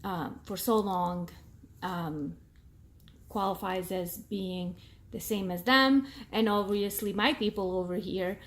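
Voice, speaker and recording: A woman in her thirties whose first language is Portuguese, speaking English with an accent in a casual vlog, pausing often with ums as she searches for words. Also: mid-range voice, steady tone. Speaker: vlogger. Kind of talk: casual talking-to-camera vlog.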